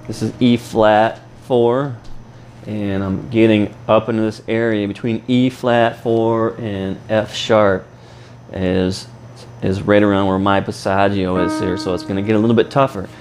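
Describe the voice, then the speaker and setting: Man explaining a vocal warm-up, slightly rough. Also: fairly low pitch, steady tone, a little slow, soft background music. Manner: explains while demonstrating sung vowels. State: slightly rough voice after sinus infection. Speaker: singing teacher.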